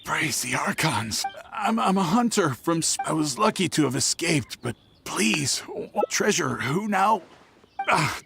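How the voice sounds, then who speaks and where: A man voicing a shifty, nervous hunter in a video game, stammering and evasive, with a creaky voice. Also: a little high, sing-song, measured pace, slight background noise, full character voice. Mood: ingratiating, nervous. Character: shifty, nervous hunter talking to a church deaconess. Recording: loud game music behind.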